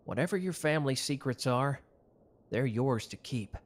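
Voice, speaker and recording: A tender young mafia protector, voiced by a man in an intimate ASMR roleplay. He talks softly and close, reassuring and earnest, with a voice of middling pitch. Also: animated, normal speed, close to an everyday voice.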